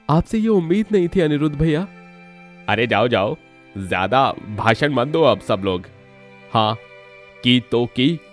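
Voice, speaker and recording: A man playing a dramatic village man. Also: on the low side. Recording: background music under voice.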